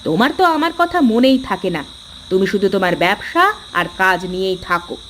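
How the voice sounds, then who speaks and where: A woman's voice: medium pitch, sing-song, brisk, a little hiss or hum, close to an everyday voice. A woman voicing a discontented, demanding village housewife in a cartoon. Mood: sulky, demanding. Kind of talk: cartoon dialogue acting.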